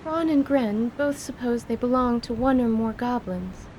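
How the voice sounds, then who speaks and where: A woman performs an earnest female surgeon in a fantasy radio drama. Her voice sits fairly high, and her wording is formal and careful. There is noticeable noise behind her. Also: normal speed, darkish tone, natural voice, low-quality recording. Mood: apologetic, earnest. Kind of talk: radio theatre character acting.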